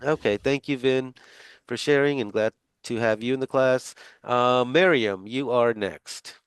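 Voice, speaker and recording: A man's voice: mid-range voice, measured pace, clean tone, no echo. A middle-aged man teaching a class over a video call, talking casually with lots of fillers and restarts. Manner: rambling, many fillers and restarts. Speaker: instructor.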